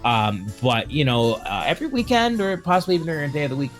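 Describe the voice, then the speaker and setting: A man's voice: on the high side, very animated, fast talker, a bit bright, faint music behind. A man hosting a casual stream as a game master, making announcements in a rambling, joking way that often interrupts itself. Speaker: host and tabletop game master.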